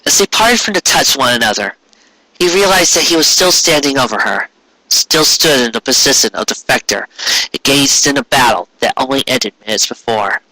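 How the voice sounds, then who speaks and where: A man's voice: medium pitch, animated, moderate pace, pushed and bright, no echo, low-quality recording. A man narrating a scripted story as a dramatic fan fiction reading.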